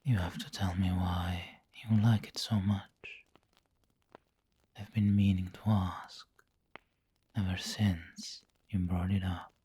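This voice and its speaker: A man playing a refined, doting vampire lord in an ASMR roleplay, whispering gently and intimately with seductive, protective care. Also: sits low, flat delivery, heavily acted. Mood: tender, coaxing. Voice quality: breathy, whispery. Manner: slow, gentle, intimate coaxing.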